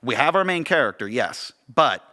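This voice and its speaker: A man's voice: medium pitch, very animated, moderate pace, bright, forceful tone. A man with an American accent talking casually on a podcast as co-host, often searching for words and repeating phrases.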